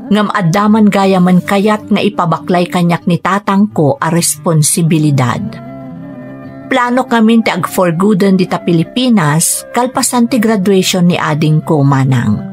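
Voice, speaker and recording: A woman's voice: fairly low pitch, expressive, unhurried, darkish tone. A woman playing a young, dutiful, burdened Filipina daughter working overseas in an Ilocano radio drama, earnest and plaintive, with an Ilocano accent. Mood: troubled, confiding. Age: young adult. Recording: music bed under the voice. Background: music.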